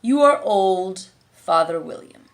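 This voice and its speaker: Slow, curt caterpillar, read by a woman. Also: mid-range voice, animated. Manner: slow, clipped, contradicting. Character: slow, curt, contrary caterpillar smoking a hookah.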